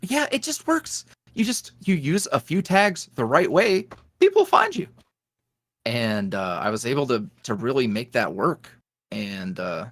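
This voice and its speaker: Animated, rambling man telling stories. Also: on the high side, gravelly, dry recording, clean studio sound. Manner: rambling, animated storytelling with frequent laughs. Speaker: ASMR roleplay creator, podcast guest. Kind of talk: casual interview chat.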